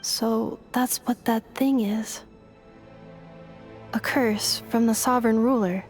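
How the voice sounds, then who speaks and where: Slow, higher-pitched, uncertain young heroine. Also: female voice, steady tone, soft background music. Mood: quietly stunned. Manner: hesitant, questioning. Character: gentle, uncertain young woman searching for her lost origins. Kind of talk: scripted game dialogue.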